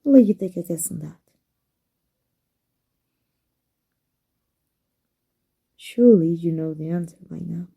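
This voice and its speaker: A woman with a Mexican accent playing a proud, secretly devoted villainess in an intimate ASMR roleplay, halting and emotional. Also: fairly low pitch, gravelly, dark tone, breathy edge. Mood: angry, guarded. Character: proud, flustered, secretly devoted villainess with life-transfer power.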